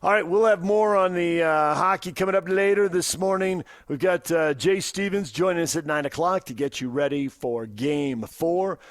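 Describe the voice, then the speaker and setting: A man's voice: on the high side, normal speed, hardly any pauses. An American man hosting a conversational sports talk radio show.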